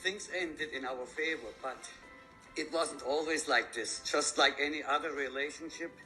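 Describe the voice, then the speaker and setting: A man in his fifties who grew up in Germany speaks earnestly as a guest author. His voice sits a little high and bright, and he picks his words with care. Faint music plays underneath. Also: poor audio. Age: 50s. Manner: earnest, measured, slightly halting. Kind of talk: TV interview.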